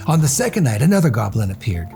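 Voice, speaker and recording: A man telling a children's story aloud in an animated read-aloud style. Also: mid-range voice, quick pace, vocal fry, soft background music.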